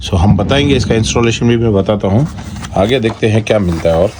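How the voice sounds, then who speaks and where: A man with an Indian accent giving a casual, step-by-step product walkthrough in short, halting phrases. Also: lowish voice. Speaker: product reviewer and vlogger. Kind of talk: casual unboxing walkthrough, Hindi mixed with English. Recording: noticeable background noise.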